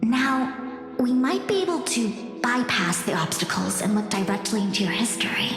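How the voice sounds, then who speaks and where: A woman's voice: a little high, very animated, normal speed. An actress voicing a composed, dignified female master diviner in an anime game, formal and articulate. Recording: echoey long reverb, loud music.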